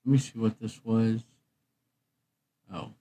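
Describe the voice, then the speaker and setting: A middle-aged man hosting a livestream, chatting casually and thinking aloud in an unhurried, rambling way. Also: fairly low pitch, little pitch movement, mellow. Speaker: livestream host.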